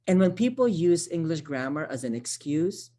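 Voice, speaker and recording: A woman's voice: deep voice, sing-song, moderate pace, warm tone. A woman, a Tagalog speaker, giving an online training workshop in a conversational way, often checking in with "right?" and restarting her phrases. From Philippines. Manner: conversational, frequent tag questions and self-corrections. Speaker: workshop presenter, social worker.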